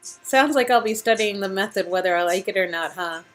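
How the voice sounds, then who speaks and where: A woman's voice: mid-range voice, fairly quick, faint music behind, pitched below the performer's own voice, lightly acted. A woman voicing a supportive, sardonic young aspiring actress in a conversational game-dialogue reading. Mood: wry.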